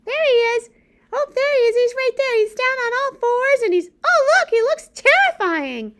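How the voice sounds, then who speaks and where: A woman voicing a curious, excitable, childlike bird puppet who chimes in during a children's read-aloud. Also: very high-pitched, normal speed, pitched above the performer's own voice. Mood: excited. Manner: exclamatory, inquisitive interjections.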